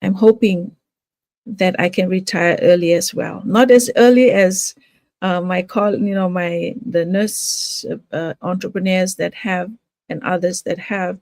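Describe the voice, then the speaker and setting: A woman's voice: on the low side, unhurried, darkish tone, dry recording. An older woman from Fiji talking casually to her viewers about her home business, hesitant, with many fillers and restarts. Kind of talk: casual talk to camera.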